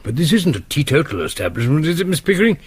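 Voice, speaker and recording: An actor playing an older English colonel in a radio play, blustering, apologetic and hearty, often stammering. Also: male voice, fairly high pitch, very animated, fast, slightly rough. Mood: polite, awkward. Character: blustering, apologetic gentleman colonel, eager to please.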